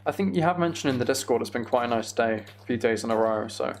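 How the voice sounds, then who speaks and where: A man's voice: medium pitch, animated, fast talker, slight background noise. A man streaming a video game, chatting casually and thinking aloud in a rambling way.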